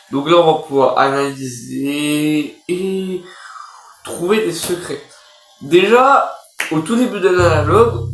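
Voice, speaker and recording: A man giving casual, slangy commentary as the host of a reaction and analysis video. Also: on the high side. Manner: slangy, informal. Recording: echoey room, music behind. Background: music.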